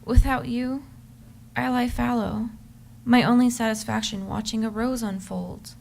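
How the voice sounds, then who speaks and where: Yearning, adoring wife, played by a woman, unhurried and ardent, creaky edge, stage reading with slight background noise. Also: on the high side, steady tone, darkish tone. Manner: ardent, measured, with stumbles in reading. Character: yearning, adoring pregnant wife writing to her husband. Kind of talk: dramatic reading of a love letter on stage.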